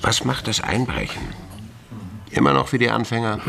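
An actor playing a wily, commanding older police commissioner near retirement in a radio crime play, with a gravelly voice. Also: male voice, mid-range voice, animated, moderate pace, fairly bright tone. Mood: sly, assured.

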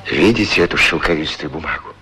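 An actor playing a lonely, tender, anxious war-veteran shoe shiner, speaking hesitantly and earnestly in a 1960s radio play. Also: male voice, a bass voice, quick pace, sharp tone, slight background noise. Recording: old radio recording with music behind. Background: prominent music.

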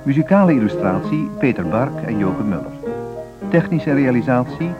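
Over loud piano music, a man announces radio credits in a slightly dull recording. His voice is medium-pitched with lively intonation. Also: normal speed.

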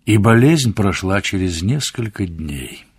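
A man's voice: sits low, big pitch swings, unhurried. A man narrating a radio play in a scripted storytelling style. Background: music under the voice.

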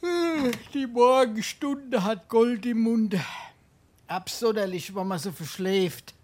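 Penniless charming scrounger, Darmstadt dialect comedy. High, husky, wheedling, theatrically courteous. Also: male voice. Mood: groggy. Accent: Hessian, Darmstadt dialect. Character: wily, penniless sponger, a glib charming idler. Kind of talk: dialect stage comedy acting.

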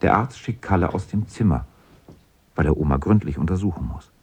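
A man's voice: deep voice, animated, brisk, darkish tone, breathy edge, exaggerated voice. A man narrating a children's radio play as a composed storyteller, reading from a script.

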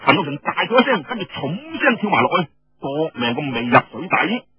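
A man narrating a dramatic traditional story in an expressive, emphatic way, with a Cantonese accent. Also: on the high side, a little fast. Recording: dull lo-fi radio sound with room echo.

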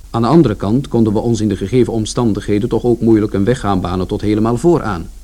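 A man's voice: on the low side, dark tone, slight background noise, close to an everyday voice. An actor playing an indignant, long-winded man who explains his side of events as narrator of a radio play. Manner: aggrieved, explanatory, colloquial.

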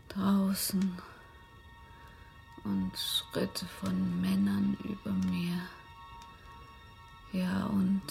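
A haunted young wife in a radio horror play, troubled yet brave. She talks slowly in a creaky, breathy half-whisper, hesitant and intense, over faint music. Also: fairly low pitch, darkish tone, heavily acted. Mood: entranced, dazed. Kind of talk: German radio drama acting.

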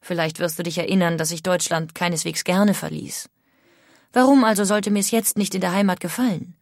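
A woman's voice: lowish voice, a little fast, a little mellow, dry recording, clean studio sound, natural voice. An actress playing an outspoken, critical emigrant woman of the 1800s, reading her letters home in a formal way. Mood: relieved, pleased. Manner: formal, opinionated. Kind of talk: dramatic reading of historical letters.

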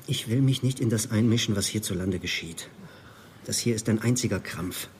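A man's voice: lowish voice, normal speed, natural voice. Actor as bitter young male student, noisy ambience. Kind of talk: naturalistic radio play acting.

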